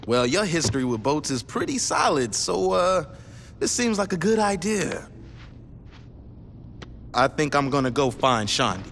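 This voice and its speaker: An excitable gang lieutenant in a video game. He is slangy and quick to complain, with a bright, high, very animated voice. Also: in a large hall, slight background noise, exaggerated voice. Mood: wary. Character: excitable, griping young male gang lieutenant. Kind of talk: video game cutscene acting.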